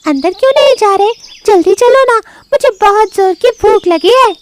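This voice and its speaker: Tiny cartoon fawn, extremely high childlike voice, whiny, impatient, lively. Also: female voice, moderate pace, a little hiss or hum. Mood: impatient pleading. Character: impatient, hungry little baby deer. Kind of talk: animated moral story voice acting.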